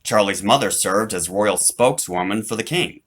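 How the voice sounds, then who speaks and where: A man reading his own children's fantasy story aloud in an animated, dramatic way, drawing out words for emphasis. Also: lowish voice, normal speed.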